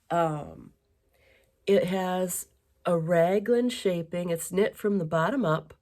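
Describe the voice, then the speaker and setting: Low-voiced woman with a stuffy cold. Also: a little mellow. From western Wisconsin. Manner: chatty and rambling, with many ums and restarts. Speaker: knitting podcast host. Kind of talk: casual chat. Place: home dining room.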